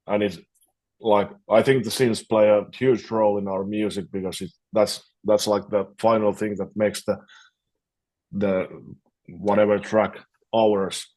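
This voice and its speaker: A man's voice: on the low side, flat delivery, a little slow, darkish tone. A man, a metal guitarist, talking casually in an interview over a video call and often repeating words as he thinks. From Finland.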